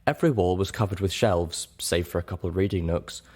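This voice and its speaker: Male narrator, deep pitch, scripted fiction reading, audiobook style. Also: fast, darkish tone, husky.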